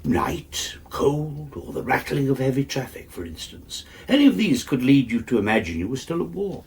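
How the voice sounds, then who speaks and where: A man playing an eminent nerve specialist in a British radio drama, with an English accent, a husky voice and a measured, formal, clinical manner. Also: mid-range voice, sing-song, darkish tone, exaggerated voice. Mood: authoritative, explanatory. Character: eminent, authoritative male nerve specialist, coolly controlled.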